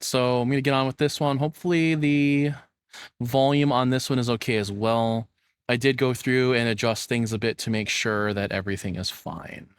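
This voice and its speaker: A man's voice: medium pitch, clean tone, no echo, clean studio sound. A young man doing casual reaction commentary as a streamer, rambling as he thinks aloud. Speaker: VTuber streamer reacting to a video.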